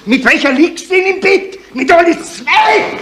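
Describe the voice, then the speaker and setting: A man's voice: extremely high pitch, animated, a little slow, echoey room. An actor playing a violent, hot-tempered village innkeeper and father who taunts and bullies in a German radio crime drama. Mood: taunting, menacing. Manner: taunting, shouting questions. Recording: noisy 1970s radio play recording.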